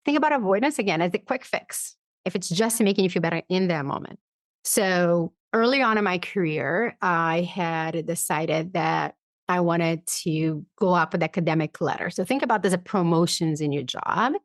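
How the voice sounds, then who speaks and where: A woman guest in a conversational interview, explaining ideas through personal examples and acting out her inner dialogue in an animated way. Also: fairly low pitch, normal speed, no echo, clean studio sound. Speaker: podcast guest, psychologist and author.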